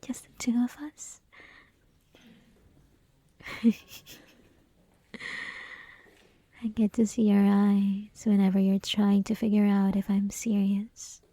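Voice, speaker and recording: A woman's voice: medium pitch, little pitch movement, slow pace, pauses often, mellow, dry recording. A woman playing a flirty, possessive college classmate who teases the listener in an intimate, mostly whispered ASMR roleplay. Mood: tender teasing. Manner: teasing, breathy, mostly whispered, giggly.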